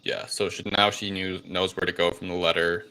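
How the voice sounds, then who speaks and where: Low, bright-voiced man in casual discussion. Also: level tone, a little fast, poor audio. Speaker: podcast co-host. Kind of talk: casual movie review chat.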